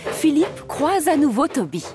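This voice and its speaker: A woman's voice: high-pitched, very animated, a little slow, music in the background. Woman narrating a cartoon story.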